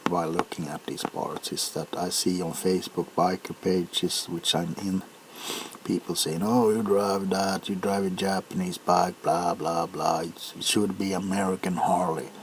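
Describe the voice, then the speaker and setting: A very low-voiced man chats casually in ASMR style, halting and correcting himself as he goes. The recording has clear background noise, with clicks, tapping and crinkling of packaging behind the voice. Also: level tone. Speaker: video host showing items. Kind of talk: ASMR, casual rambling chat.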